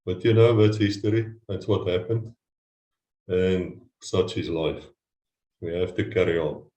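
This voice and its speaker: Male interview host, very low voice, earnest, echoey room. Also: unhurried. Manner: earnest, warm praise of guest. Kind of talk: casual interview talk.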